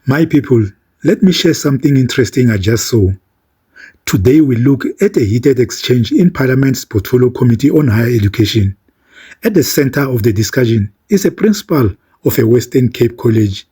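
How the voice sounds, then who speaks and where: A man hosting a commentary video, addressing his viewers directly in a measured, scripted narration. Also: medium pitch, warm tone, husky. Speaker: commentary channel host.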